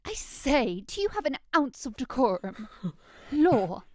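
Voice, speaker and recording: Woman acting theatrical young society lady, slightly high voice. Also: big pitch swings, takes it slowly, dry recording, studio quality, heavily acted. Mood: disgusted, indignant. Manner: theatrical, archaic prim diction. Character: eager young society lady, haughty and easily disgusted. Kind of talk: audio drama acting.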